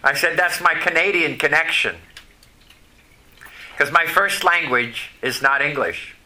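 A man preaching a folksy sermon who grew up in Vermont and whose first language is French. He often turns to the congregation with questions and pauses between phrases. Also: a little high, sharp tone, a little hiss or hum. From Vermont, USA.

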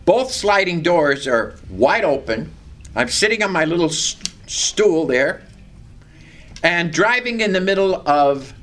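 An older man preaching a sermon with a loud, carrying voice, reading scripture and telling a personal story with animation. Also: fairly high pitch.

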